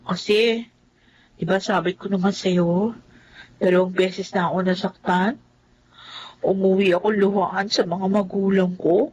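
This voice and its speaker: A woman's voice: medium pitch, fairly even intonation, unhurried, long pauses, a little mellow, breathy edge, muffled recording. An actress playing a headstrong young woman in a radio drama, talking in a dismissive, defiant way. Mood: sweet.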